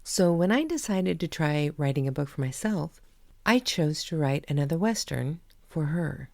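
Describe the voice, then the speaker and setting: Low gravelly woman, dry and close. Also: lively intonation, moderate pace, darkish tone, no room sound. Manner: candid, chatty, laughs at herself. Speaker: podcast host and author. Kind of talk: personal podcast monologue.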